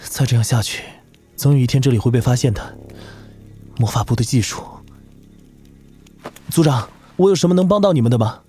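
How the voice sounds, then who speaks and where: A man voicing an earnest, idealistic young human mage with a wry streak in an animated fantasy drama. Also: mid-range voice, brisk, long pauses, faint music behind, close to an everyday voice. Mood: serious.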